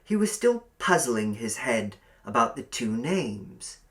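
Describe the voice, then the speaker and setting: A man's voice: medium pitch, very animated, slow, fairly bright tone. A man reading a short story aloud as a scripted audiobook narrator.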